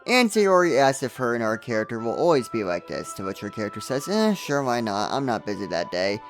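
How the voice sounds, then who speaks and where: Guy doing a jokey game review voiceover, dry sarcastic remarks, medium pitch, music behind. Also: big pitch swings, a little fast. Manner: sarcastic, dry joking asides. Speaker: game review video narrator. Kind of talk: scripted comedic narration of a visual novel.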